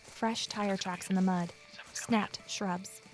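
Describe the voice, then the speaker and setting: A woman's voice: medium pitch, steady tone, slow, faint music behind, close to an everyday voice, low-quality recording. An actress playing a guarded, lonely amateur sleuth who narrates her own mystery in an introspective audio drama style. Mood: uneasy.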